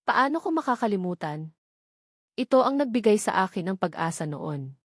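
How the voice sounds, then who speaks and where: A woman's voice: mid-range voice, very animated, normal speed, no room sound, clean studio sound. A woman voicing a loving, fond mother with an affectionate way of talking in an audiobook romance. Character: loving mother, fond and teasing toward her family.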